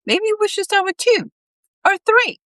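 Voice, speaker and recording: A woman voicing a gentle, awkward male army veteran in a storybook, coaxing and upbeat. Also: extremely high pitch, big pitch swings, unhurried, no room sound, studio quality, voice pushed up, exaggerated voice. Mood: tentative.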